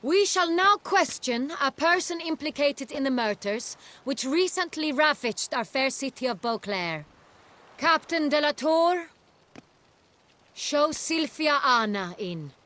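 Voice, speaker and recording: A woman's voice: high voice, lively intonation, slow pace, fairly bright tone, heavily acted. A woman playing a regal young duchess in a video game, speaking in a formal, dignified and carefully worded manner. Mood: commanding, formal. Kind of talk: video game cutscene acting.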